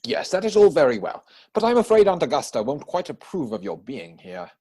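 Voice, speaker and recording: A man acting a witty, idle young gentleman from a period comedy. His voice is slightly husky and swings widely in pitch, with arch, playful self-satisfaction in a theatrical reading. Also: wildly swooping pitch, a little fast. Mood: arch, teasing. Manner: mannered, arch, theatrical delivery.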